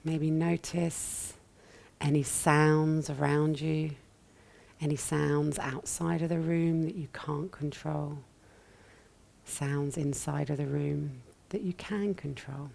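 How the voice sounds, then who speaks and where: A woman with a low, mellow voice guides a meditation. She speaks softly and gently, sometimes breathy, leaving long pauses between short phrases. There is a light creak in her tone that settles into the unhurried teaching. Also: fairly even intonation. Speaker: yoga nidra meditation teacher.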